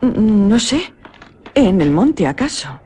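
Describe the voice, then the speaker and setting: A woman's voice: medium pitch, big pitch swings, takes it slowly, dark tone, slight background noise. An actress with a Spanish accent from Spain playing a playful, coolly ironic young noblewoman in a radio drama. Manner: playful, mocking.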